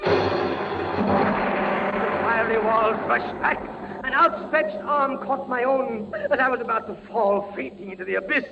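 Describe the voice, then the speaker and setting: A man's voice: very high voice, a little slow, creaky, over loud music, exaggerated voice. An actor playing a tormented prisoner recounting his agony in fevered, melodramatic 1940s radio style. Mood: anguished terror. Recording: noisy dull 1940s radio recording.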